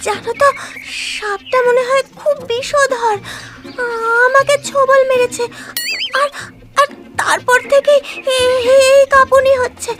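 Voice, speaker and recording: A performer voicing a tiny, plucky, excitable childlike magical creature in a children's cartoon, squeaky and dramatic. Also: a little slow. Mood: pained, pleading. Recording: music playing behind the voice. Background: loud playful cartoon music.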